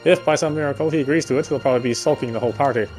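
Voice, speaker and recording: A man's voice: mid-range voice, very fast, darkish tone, soft background music. A man reading visual novel narration aloud, sometimes stumbling and rereading phrases.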